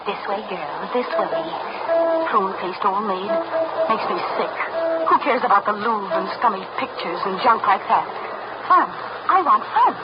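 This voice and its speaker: A woman's voice: medium pitch, lively intonation, normal speed, highs cut off, over loud music, natural voice. A woman playing a spoiled, rebellious young American girl who craves fun, petulant and brash, in a 1930s radio drama on an old, noisy recording. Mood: sneering.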